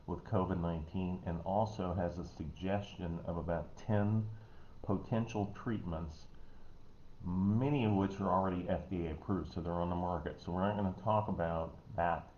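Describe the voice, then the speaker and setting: A man with a Missouri American accent, giving a casual health talk online in a folksy, rambling way, often clearing his throat. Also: deep voice, darkish tone. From Missouri, United States. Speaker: host, health educator. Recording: dull, roomy, noisy home recording.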